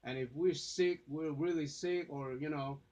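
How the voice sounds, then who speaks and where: A man's voice: on the high side, fairly even intonation, a little slow, a little mellow. A man with Vietnamese as his first language, vlogging casually to his phone camera, rambling with many fillers and restarts. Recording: dull lo-fi phone audio.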